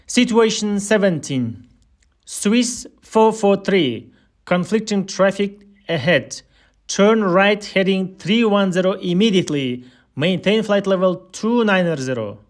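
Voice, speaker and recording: A man reading a scripted aviation listening drill as an instructor, giving air traffic control phrases with measured, deliberate enunciation. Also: sits high, lively intonation.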